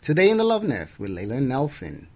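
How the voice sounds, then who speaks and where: A man's voice: mid-range voice, wildly swooping pitch, fast talker, a little mellow. A man co-hosting a radio talk show in a conversational style.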